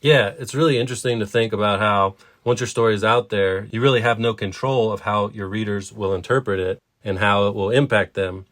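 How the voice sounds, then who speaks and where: Low-voiced man discussing stories. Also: fairly even intonation, a little fast, few pauses, fairly bright tone. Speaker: co-host of a writing podcast. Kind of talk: conversational literary discussion.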